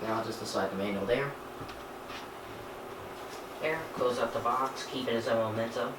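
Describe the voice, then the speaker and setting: Male hobbyist vlogger, unscripted and halting, half talking to himself, roomy sound with noticeable background noise. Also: medium pitch. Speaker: hobbyist vlogger unboxing gadgets. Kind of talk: casual unscripted chat while handling a device. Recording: echoey room, clear background noise.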